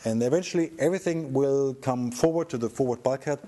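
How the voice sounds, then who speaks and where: A middle-aged man explaining a restoration project as its presenter, in a halting, thinking-aloud way. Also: medium pitch, dark tone.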